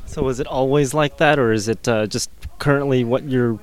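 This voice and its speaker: A man hosting a punk rock interview show, hoarse after staying up all night, laid-back and laughing often. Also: medium pitch, normal speed. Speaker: host, interviewer. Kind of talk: casual podcast interview.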